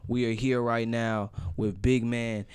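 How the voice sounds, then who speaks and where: A man's voice: mid-range voice, flat delivery, a little slow, darkish tone, smooth, dry recording. A man hosting a live stream, hyped and talking to the chat. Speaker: stream host.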